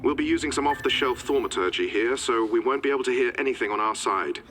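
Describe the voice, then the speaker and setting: A man voicing a matter-of-fact intelligence handler giving a brisk, official mission briefing in a video game. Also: medium pitch, fairly bright tone, a little hiss or hum, lightly acted. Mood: businesslike. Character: businesslike male intelligence handler giving orders. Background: music.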